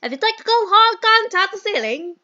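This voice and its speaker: A woman voicing a pompous, cheerful old-fashioned British gentleman boss in a comic audio drama, with theatrical, quaint exclamations. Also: very high-pitched, sing-song, brisk, dull audio, exaggerated voice. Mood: jolly, pompous.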